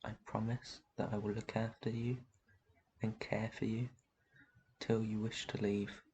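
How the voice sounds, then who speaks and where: Man as a low, gravelly, protective ancient vampire. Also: little pitch movement, unhurried, slight background noise. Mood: reassuring, protective. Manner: soft shushing, reassuring, tender endearments. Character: very old, protective male vampire master, gentle and soothing. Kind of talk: roleplay ASMR, intimate one-sided dialogue.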